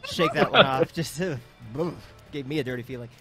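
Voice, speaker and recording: A man's voice: a little high, lively intonation, moderate pace, music in the background. A man hosting a casual podcast with jokey, self-mocking banter. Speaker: podcast host.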